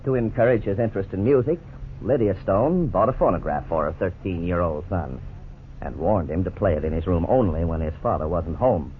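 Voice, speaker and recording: A man with a deep, low voice narrates in a grave, measured way, in scripted 1950s radio style. The sound is thin and phone-like, with faint background hiss. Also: expressive. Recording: tinny old radio broadcast, faint hiss.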